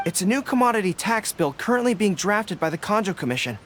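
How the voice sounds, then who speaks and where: Game role: honourable military leader, high-pitched and expressive, formal, sincere, quick to apologise. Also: male voice, slight background noise. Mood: troubled. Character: earnest, honourable young male army general. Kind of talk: video game voice acting.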